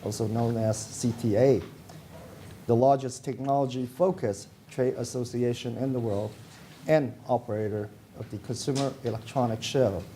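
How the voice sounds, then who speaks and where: A man with a soft, mellow voice reads a formal introduction aloud as moderator. His delivery is scripted and now and then trips on a word. Clear noise runs behind him on the live recording. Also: medium pitch. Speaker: panel moderator introducing a speaker. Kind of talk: reading a scripted biography aloud.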